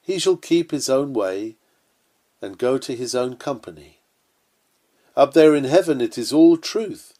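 A man's voice: medium pitch, expressive, a little slow, long pauses, warm tone. Male sermon reader, mid-range voice, earnest oratorical delivery of old-fashioned scripted text. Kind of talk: reading an old sermon aloud.

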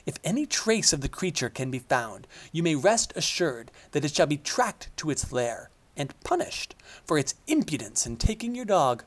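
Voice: mid-range voice, big pitch swings, moderate pace. A reader voicing a vain male king who speaks in formal royal phrasing. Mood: commanding.

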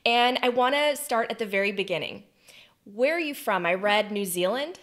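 An enthusiastic young woman hosting a podcast, in a mid-pitched voice. She speaks brightly to her guest over a video call from a room at home. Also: expressive, normal speed. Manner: upbeat, enthusiastic. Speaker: podcast host. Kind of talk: interview host.

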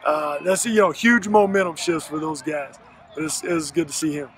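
An American man in his thirties, a high school football coach, giving a postgame interview in a halting, folksy way with repeated words. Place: outdoor football field at night. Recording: noisy outdoor field, vehicle-like ambience.

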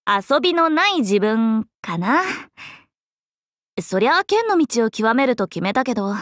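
A woman voicing a playful, cheerful young swordswoman in an anime mobile game, breezy, expressive and teasing like a big sister. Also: sits high, dry recording, studio quality, full character voice. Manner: breezy, expressive, teasing, casual with sudden formal turns.

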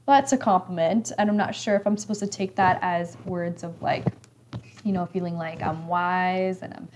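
A young woman in her mid-twenties hosts a casual live stream from an indoor room, her voice a bit raspy today. She chats easily and teases her viewers as she reads their comments, with a light laugh never far off. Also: fairly low pitch, steady tone, moderate pace, a little mellow, a little hiss or hum. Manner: chatty, teasing, laughs often. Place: indoor room with leather couch.

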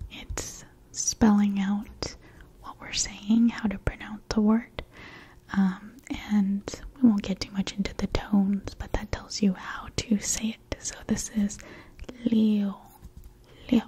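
A woman whispering a gentle, patient language lesson in ASMR style, her first languages Mandarin and Cantonese. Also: mid-range voice, husky. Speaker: language teacher.

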